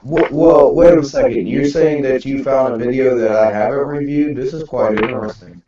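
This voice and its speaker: A man's voice: mid-range voice, fairly even intonation, moderate pace, dull audio. A man taking part in a casual round table conversation. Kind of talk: casual chat. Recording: echoey, with crowd noise behind.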